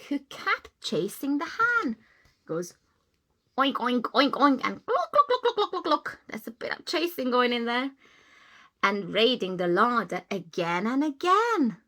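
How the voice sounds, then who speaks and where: Expressive woman reading a children's story, lively pitch. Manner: expressive, explaining to young listeners. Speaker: teacher reading a storybook aloud.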